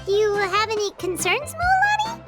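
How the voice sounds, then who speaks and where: Tiny game fairy girl, very high squeaky voice, lively swooping delivery, music behind. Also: normal speed. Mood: eager, earnest. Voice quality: squeaky, childlike. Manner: speaks of self in third person. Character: tiny floating fairy companion girl, childlike, excitable, curious. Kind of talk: video game voice acting.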